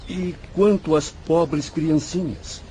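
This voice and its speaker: A man's voice: a little high, fairly even intonation, slow, mellow, a little hiss or hum. A man with a Brazilian accent playing a troubled, earnest disciple who seeks answers in a radio drama. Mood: troubled, questioning. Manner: earnest, questioning.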